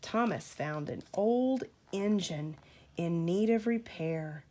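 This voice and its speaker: A woman reading a storybook aloud to a child as a parent, in an expressive, deliberate storytelling manner. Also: on the low side.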